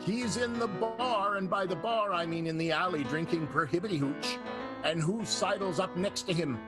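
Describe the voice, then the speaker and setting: Casting: male soap narrator, high-pitched and very lively, playful dramatic announcing over loud music. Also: normal speed. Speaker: narrator and host. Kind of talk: improvised narration for a radio soap.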